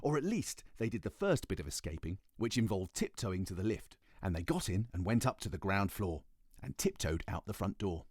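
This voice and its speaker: British man, storybook narration, expressive, lowish pitch, dry close sound. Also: normal speed, dry recording. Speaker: voice artist, audiobook narrator. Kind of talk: animated children's story narration.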